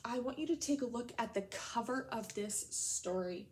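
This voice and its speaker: Higher-voiced woman teaching young children. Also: animated, normal speed, a little mellow, slight background noise. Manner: instructional, addresses children with simple questions and prompts. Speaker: elementary school teacher. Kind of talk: read-aloud lesson for young children.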